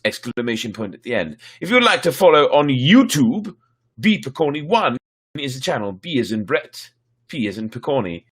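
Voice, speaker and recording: A man's voice: medium pitch, breathy edge. A man hosting a livestreamed story show, chatting casually to viewers in a playful, theatrical, rambling way.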